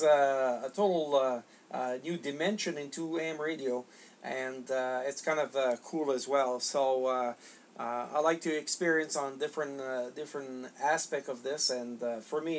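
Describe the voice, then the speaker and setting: A middle-aged man making casual ham radio contact, halting and repeating words as he goes. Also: medium pitch. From Canada. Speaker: amateur radio operator. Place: small home radio room, indoors.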